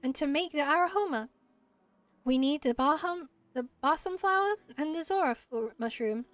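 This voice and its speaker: A woman voicing a small, gentle, childlike forest spirit in a video game, solemn and earnest, with quaint, ceremonious phrasing. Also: high-pitched, normal speed, no room sound, faint music behind, natural voice. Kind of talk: amateur voice acting of video game dialogue.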